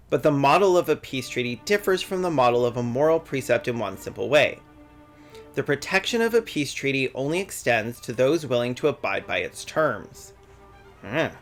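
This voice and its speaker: A man's voice: mid-range voice, a bit bright. Sardonic young man with faint music behind. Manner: sardonic, profane asides. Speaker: video essayist. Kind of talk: scripted commentary with sarcastic jokes.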